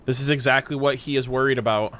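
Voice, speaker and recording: A man's voice: mid-range voice, steady tone, fast, fairly bright tone, slight background noise. A man streaming a video game, reacting casually with jokes and slang. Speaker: gaming streamer. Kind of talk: casual let's-play commentary.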